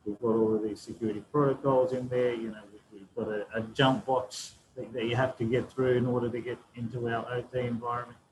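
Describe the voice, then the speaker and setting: A man's voice: mid-range voice, little pitch movement, brisk, few pauses, darkish tone, rough recording. Man explaining, faint background hiss. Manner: explanatory, frequent tag "right?". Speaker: panelist. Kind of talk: webinar panel answer.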